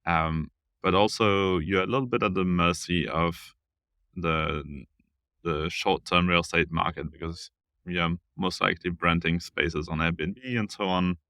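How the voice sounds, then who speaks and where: A man from the south of France speaking as a guest in a casual interview, reflective and halting. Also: low-pitched, steady tone, bright, forceful tone, dry recording, studio quality. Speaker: interview guest, village founder.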